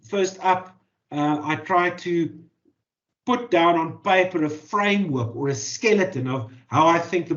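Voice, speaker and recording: A man's voice: on the high side, a bit bright. A man with a South African accent, a native Afrikaans speaker, answering interview questions as a journalist and author, thoughtful and full of fillers and repeated words. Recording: dull lo-fi audio.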